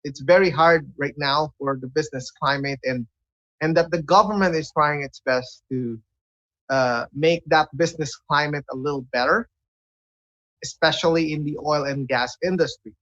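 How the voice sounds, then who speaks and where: A man hosting a conversational radio interview, speaking haltingly and repeating words as he looks for phrasing. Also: fairly high pitch, a bit bright. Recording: dull, lo-fi audio.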